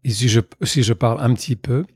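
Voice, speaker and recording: An American man from Boston speaking French with a slight accent, answering thoughtfully with many pauses. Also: mid-range voice, darkish tone, slightly rough, no echo, studio quality. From United States, Boston. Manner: hesitant and reflective, with many pauses. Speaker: guest.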